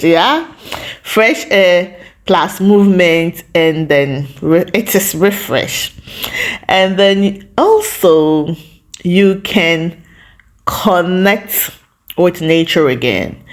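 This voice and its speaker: A woman hosting a lifestyle vlog, talking casually to viewers in a reflective, encouraging way with short pauses between phrases. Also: fairly low pitch.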